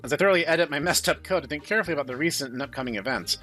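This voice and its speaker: A man reads a visual novel aloud as he plays, hosting with an expressive delivery. His voice is bright and swings widely in pitch. Music plays behind him. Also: rapid-fire. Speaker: gaming channel host. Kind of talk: reading visual novel dialogue aloud, playthrough commentary.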